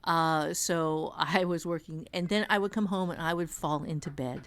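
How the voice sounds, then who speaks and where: A woman's voice: low-pitched, steady tone, moderate pace. A woman author and writing teacher, a podcast guest, giving practical, encouraging advice in casual conversation.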